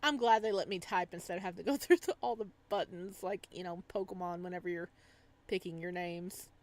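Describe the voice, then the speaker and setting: A woman's voice: on the low side, fairly quick, a little mellow. Giggly woman streamer chatting casually. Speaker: live streamer reading a visual novel aloud. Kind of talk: casual stream chat and playful read-aloud.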